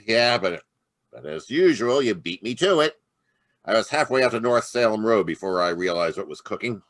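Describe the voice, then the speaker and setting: A man voicing a weary police inspector in an old-time radio play, gruff and plain. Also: on the low side, animated, normal speed, fairly bright tone, dry recording.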